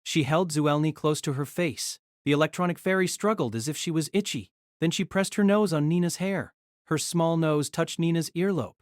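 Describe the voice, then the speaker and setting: A woman's voice: low voice, normal speed, darkish tone, no echo, clean studio sound. A woman narrating a scripted, dramatized story as its narrator.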